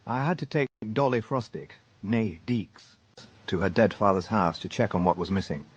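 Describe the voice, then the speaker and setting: An actor playing a methodical, courteous police inspector in a radio drama, measured and polite as he narrates and questions. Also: on the low side, breathy edge, muffled recording. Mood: reflective. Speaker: narrator and lead detective.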